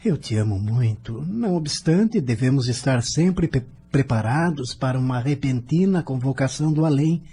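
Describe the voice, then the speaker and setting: An actor playing a kind, calm husband of about forty in an audio drama, measured and reassuring, with a Brazilian accent. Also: male voice, mid-range voice, slight background noise, natural voice. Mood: calm, realistic. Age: 40s. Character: kind, calm forty-year-old husband, devout spiritist.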